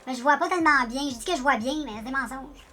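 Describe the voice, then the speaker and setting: A woman's voice: high voice, fast, sharp tone. A woman with a Quebec French accent chatting casually through a makeup tutorial, rambling and joking at her own expense while speaking to her viewers. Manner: chatty, rambling, self-deprecating, talks directly to viewers. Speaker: beauty vlogger.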